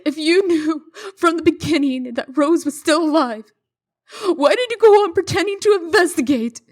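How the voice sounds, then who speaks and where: A woman playing a stern, indignant sister with a sharp, confrontational tongue in a comic dub. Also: extremely high pitch, expressive, moderate pace. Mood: sarcastic, impatient. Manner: sarcastic, confrontational.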